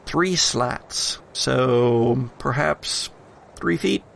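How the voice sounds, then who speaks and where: A man with a creaky, gravelly voice narrates a tabletop horror game as game master. He takes his time, setting out the scene in short, deliberate phrases. Some noise sits behind him. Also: medium pitch.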